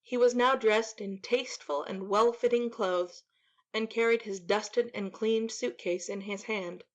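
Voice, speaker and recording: A woman's voice: a little high, fairly even intonation, unhurried, muffled recording. A woman reading a classic story aloud as an audiobook narrator, in scripted narration.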